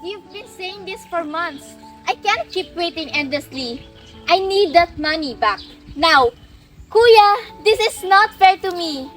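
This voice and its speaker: Woman acting high-voiced, pleading young Filipino student. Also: animated, takes it slowly, fairly bright tone, faint music behind, exaggerated voice. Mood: desperate, frustrated. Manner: earnest, pleading. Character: young female student, weary and pleading, determined dreamer. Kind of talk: amateur English radio drama acting.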